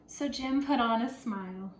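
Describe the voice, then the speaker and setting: A woman, a first grade teacher, reads and talks to young children in an expressive, deliberate storytelling way. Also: on the high side. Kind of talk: picture-book read-aloud for children. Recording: roomy echo, clear background noise.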